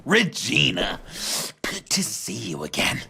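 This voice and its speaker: A man voicing a manic, theatrical clown villain with a creaky voice and gleeful menace in a video game. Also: mid-range voice, huge pitch swings, measured pace, sharp tone, soft background music, exaggerated voice. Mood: mock-friendly menace. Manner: theatrical, mock-friendly, cackling.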